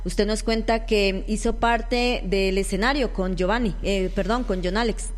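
A woman with a Colombian accent hosting a live local radio interview, halting at times as she searches for words. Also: medium pitch, fairly even intonation. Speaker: radio host interviewing musicians. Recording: echoey hall-like reverb.

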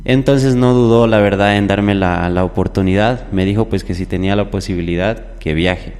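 Young male singer guest, low voice, conversational storytelling in a reverberant recording. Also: normal speed, darkish tone, clean tone. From southern Ecuador. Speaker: musician guest, popular music singer. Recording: echoey hall-like reverb.

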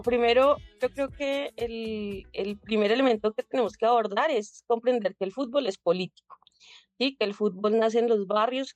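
A woman's voice: mid-range voice, darkish tone, no room sound. A woman, a guest answering interview questions thoughtfully, with many restarts and checking tags. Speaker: guest, public administrator and social activist.

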